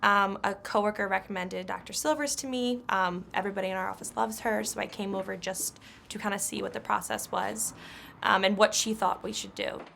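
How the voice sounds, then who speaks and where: A woman's voice: mid-range voice, fairly even intonation, brisk, creaky, fairly bright tone, slight background noise. A young woman with a nasal, congested voice, talking candidly as a patient about her history. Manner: candid, conversational.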